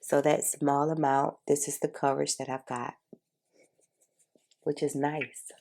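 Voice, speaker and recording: A woman's voice: low voice, flat delivery, unhurried, creaky, a little mellow. A woman, congested, chatting casually through a makeup tutorial for her viewers. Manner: chatty, informal, addresses viewers as y'all. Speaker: beauty vlogger.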